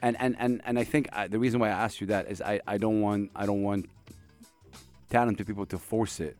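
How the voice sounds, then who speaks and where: A man with a low voice hosts a casual radio interview. He asks his questions with many stammered restarts and eager, encouraging remarks. Faint music plays behind him. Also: fairly even intonation, fairly quick, darkish tone. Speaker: radio host.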